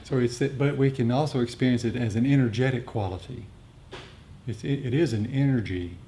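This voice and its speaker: A man teaching meditation in a talk with questions and answers, speaking in a halting, reflective, explanatory way. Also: warm tone. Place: group meditation gathering. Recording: rumbly background noise.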